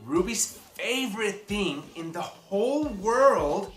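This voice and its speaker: A woman reading a children's picture book aloud in an expressive, theatrical way, with dramatic pauses and emphasis. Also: medium pitch. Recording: roomy echo, music behind.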